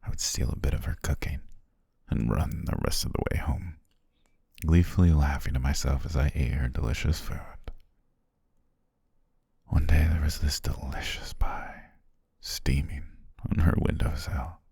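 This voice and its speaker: A man playing a courteous, self-amused demon king in an ASMR roleplay, speaking in a genteel, formal way with a husky, breathy voice. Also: measured pace, no echo, clean studio sound, full character voice. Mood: nostalgic, wistful. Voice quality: very deep, husky, gravelly, often breathy near whisper. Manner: leisurely, genteel, archly formal with soft chuckles.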